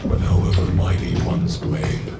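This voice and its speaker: A man's voice: measured pace, natural voice. A man voicing a grim, fatalistic warrior in a video game, speaking in a solemn, declamatory manner. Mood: brooding, solemn. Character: grim, fatalistic male warrior brooding on justice and fate. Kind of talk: dramatic video game voice acting. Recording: reverberant voice under loud music.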